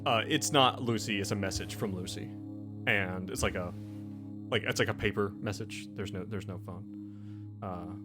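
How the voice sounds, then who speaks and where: A man with a lowish voice narrates a tabletop roleplaying game as its game master. He talks informally and chattily, with music playing clearly behind him. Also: normal speed. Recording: background music under the voice.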